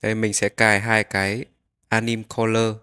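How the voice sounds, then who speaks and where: A man narrating a step-by-step software tutorial, explaining each click as he goes. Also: on the low side, flat delivery, moderate pace, clean tone.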